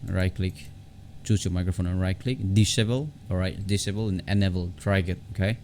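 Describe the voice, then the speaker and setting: A low-pitched man walks through a software tutorial off the cuff. His voice has a gravelly creak, and he halts and repeats phrases as he goes. There is clear background noise on the recording. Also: fairly even intonation. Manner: halting, repetitive, often restarting phrases. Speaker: tutorial host.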